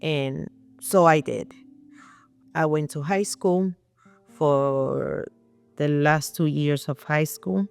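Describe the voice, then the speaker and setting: Slow, gravelly Puerto Rican woman. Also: low voice, darkish tone, faint music behind. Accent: Puerto Rican Spanish. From Puerto Rico. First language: Spanish. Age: middle-aged. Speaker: interview guest. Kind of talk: personal life story.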